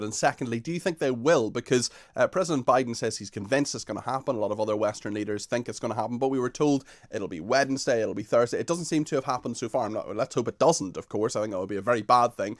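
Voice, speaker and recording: Male radio host, rapid, dry studio sound, brisk conversational questions. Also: mid-range voice, dry recording. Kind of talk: radio interview.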